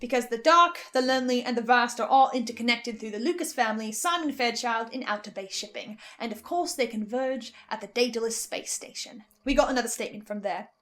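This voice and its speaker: Excitable lady doing theory commentary, high voice, cuts herself off, music behind her. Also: fairly quick, hardly any pauses. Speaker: podcast listener giving reaction commentary.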